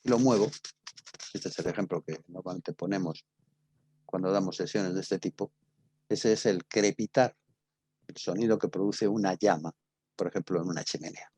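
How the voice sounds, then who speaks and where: Man, Spain accent, lowish pitch, dry sound, informal lecturer, didactic, repeats and stresses key words. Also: normal speed, long pauses, darkish tone, husky, no echo. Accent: Spanish from Spain. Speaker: university lecturer in radio drama.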